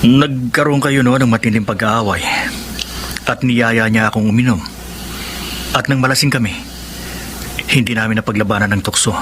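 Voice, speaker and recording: A man playing a guilty, earnest friend and secret lover in a Tagalog radio melodrama, speaking in a measured way. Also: medium pitch, fairly even intonation, background noise, natural voice. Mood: contrite. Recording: music bed under the voice.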